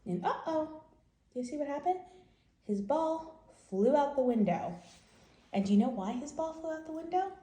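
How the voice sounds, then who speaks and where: A woman's voice: sits high, very animated, moderate pace, dark tone. A woman hosting a bedtime read-aloud, talking to small children in simple, emphatic phrases and guiding questions. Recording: roomy, echoing home recording.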